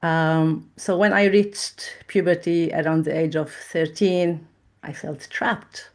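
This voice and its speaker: Slow, low-voiced woman from Lebanon. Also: steady tone. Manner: measured and thoughtful, with frequent pauses and fillers. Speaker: trauma therapist guest. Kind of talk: reflective personal interview.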